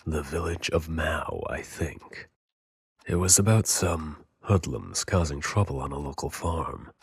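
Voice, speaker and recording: A man playing a gruff, seasoned anime soldier, grave and loyal, with a husky voice in an audio drama. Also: measured pace, no room sound, exaggerated voice. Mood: grave, urgent. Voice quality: husky, very deep.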